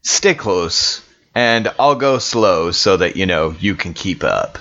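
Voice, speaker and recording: A man's voice: low voice, lively intonation, slow, a bit bright, lo-fi sound. A man with a laid-back, slangy way of talking, improvising as a player in a tabletop roleplaying game.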